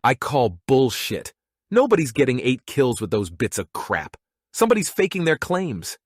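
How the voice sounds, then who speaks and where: A man's voice: medium pitch, sing-song, moderate pace, husky, no echo, clean studio sound. A man playing a cursing, belligerent fighter pilot lieutenant in an audiobook, blunt, scornful and accusatory. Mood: angry, scornful. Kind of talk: audiobook character acting.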